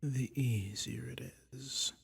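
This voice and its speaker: A man voicing a sly, soothing dragon who toys with a listener in a soft-spoken ASMR roleplay, breathy and whispery with a slow, coaxing delivery. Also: mid-range voice, steady tone, no room sound, full character voice. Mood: hypnotic, coaxing. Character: sly, soothing male dragon lurking in a cave, toying hypnotist.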